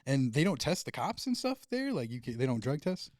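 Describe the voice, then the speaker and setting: A male podcast host who talks quickly in a mid-range voice. His tone is casual and upbeat, with loose swearing. The sound is close and dry. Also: medium pitch, sing-song, a little mellow, no echo. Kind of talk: casual interview chat.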